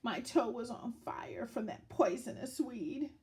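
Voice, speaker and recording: A woman reading a children's picture book aloud in a dramatic, deliberate storytelling manner, as if to young listeners. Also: on the high side, animated, a little mellow.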